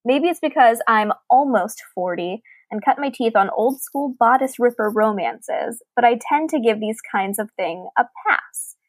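A woman's voice: a little high, expressive, moderate pace, few pauses, dry recording, low-quality recording. A woman co-hosting a podcast, reading aloud and chatting about books in an articulate, conversational way. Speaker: podcast co-host. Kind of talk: reading listener email aloud and casual book discussion.

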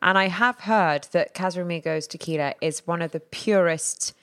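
A British woman co-hosting a casual chat podcast, chatty and quick to laugh. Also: on the low side, a bit bright, clean tone, dry recording, studio quality. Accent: British English. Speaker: podcast co-host.

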